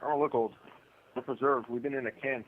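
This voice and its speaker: A man's voice: medium pitch, steady tone, fairly quick. A man in his forties calling from prison, laid-back and joking. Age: 40s. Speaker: incarcerated guest on a call-in show. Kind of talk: casual phone chat. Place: prison phone. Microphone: phone line. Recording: faint noise on a prison phone line.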